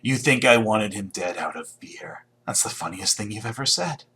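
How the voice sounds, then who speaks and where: A proud, menacing hunter in a sci-fi game. The voice is fairly low with a hard, tense edge, delivered with sardonic, taunting scorn. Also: male voice, expressive, normal speed, bright, forceful tone. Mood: scornful taunting. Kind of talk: video game voice acting.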